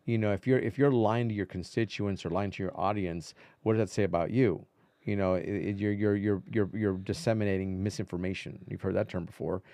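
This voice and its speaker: A man with a low, mellow voice that has a noticeable gravelly fry. He talks casually as a reporter being interviewed, circling back on phrases and tossing in asides. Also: fairly even intonation, fairly quick, no echo. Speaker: veteran political reporter, interview guest. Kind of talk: casual conversational interview talk.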